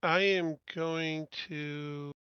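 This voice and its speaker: A man's voice: fairly high pitch, fairly even intonation, very slow, clean tone, no room sound, lo-fi sound. A man playing a tabletop game, chatting casually about his moves as a player.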